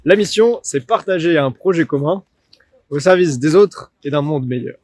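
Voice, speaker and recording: A man in his twenties introducing himself in a relaxed, informal way. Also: a little high, normal speed, dry recording. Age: 20s. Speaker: urban planning student. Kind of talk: casual self-introduction.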